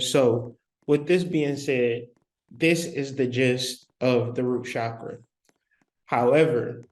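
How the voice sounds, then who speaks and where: A male spiritual teacher lecturing at a very slow, measured pace. His pitch sits in the middle range for a man. He leans on repetition and long pauses to drive each point home. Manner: slow, deliberate, repeats key phrases with pauses.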